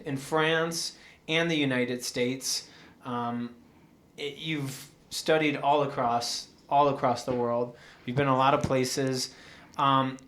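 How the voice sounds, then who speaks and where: A man hosting a podcast interview, asking questions with many pauses and restarts. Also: mid-range voice, a bit bright. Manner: halting, many fillers and restarts. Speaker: podcast host.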